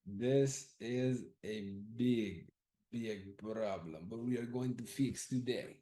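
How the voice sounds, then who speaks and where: A man's voice: mid-range voice, slow, mellow, poor audio. A man running a live audience poll about class times, casually thinking aloud, loose and profane, often repeating himself.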